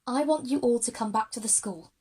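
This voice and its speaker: A brave schoolgirl leader, acted by a woman in an audio drama for young listeners. Her voice is high and slightly breathy, and she speaks earnestly, reassuring her friends. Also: a little fast, mellow.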